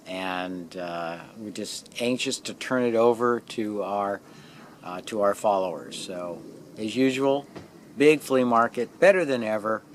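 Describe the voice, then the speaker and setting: Slow-paced man pitching an event. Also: a little hiss or hum. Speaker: flea market organiser. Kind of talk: casual on-site interview answer with a sales pitch.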